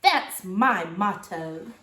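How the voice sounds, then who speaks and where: A woman's voice: mid-range voice, lively intonation, extremely slow, bright, forceful tone, roomy sound, voice pushed down, full character voice. A woman voicing a stubborn, curious little girl, a bossy big sister in a storybook, emphatic and defiant. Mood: haughty.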